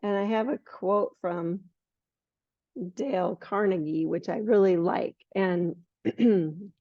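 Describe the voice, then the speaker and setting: A hoarse middle-aged woman guiding a yoga class in a relaxed, unhurried way, with some fillers along the way. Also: medium pitch, no room sound. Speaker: yoga teacher. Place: yoga studio. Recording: muffled lo-fi recording.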